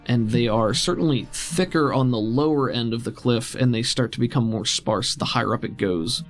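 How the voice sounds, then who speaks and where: A man runs a tabletop roleplaying game as its game master. He describes the scene conversationally in a mid-pitched voice, with music playing behind him. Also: normal speed. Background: background music.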